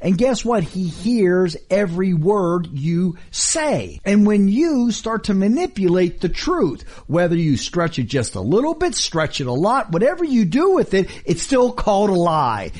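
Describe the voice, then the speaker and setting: Husky, animated male radio preacher. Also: unhurried, few pauses. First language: not English. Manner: emphatic, rhetorical questions, lively swings in pitch. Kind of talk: radio sermon.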